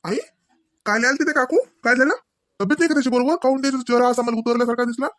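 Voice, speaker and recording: A man's voice: extremely high pitch, fairly even intonation, fast talker, fairly bright tone, no echo, soft background music. A man as an easygoing village son, dry close sound. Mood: curious. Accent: Vidarbha Marathi. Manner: mild, hesitant, placating. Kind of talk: comedy cartoon dialogue in rural dialect.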